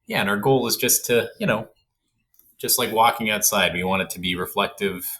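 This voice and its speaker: Man from Canada, podcast host, rambling and goofy, low and forceful tone. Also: normal speed, a little hiss or hum. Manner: goofy, rambling, laughs often. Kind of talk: casual interview.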